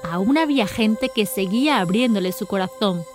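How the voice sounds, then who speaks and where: A woman's voice: mid-range voice, expressive, moderate pace, over music. A woman reading scripted storybook narration as the narrator of an audio drama.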